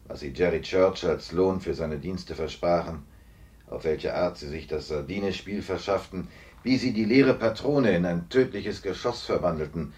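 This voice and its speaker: Low, emphatic male police investigator actor, slightly distant. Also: a little mellow, natural voice. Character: calm, authoritative police investigator confronting a suspect he freed. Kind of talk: German radio play acting.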